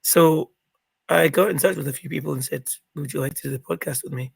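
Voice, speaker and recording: A middle-aged man with a croaky, gravelly voice, chatting as an interview guest and rambling with many ums and restarts. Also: on the high side, fairly quick, no room sound. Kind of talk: casual interview chat.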